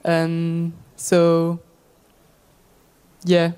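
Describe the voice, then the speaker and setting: A woman's voice: fairly low pitch, monotone, drawn-out words, warm tone, clear, resonant tone. A woman athlete giving a hesitant, unscripted interview answer.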